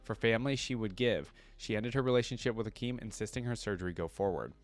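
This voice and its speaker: Low-pitched man reacting and reading aloud. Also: quick pace. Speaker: reaction video host. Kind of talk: casual reaction commentary mixed with reading lore aloud.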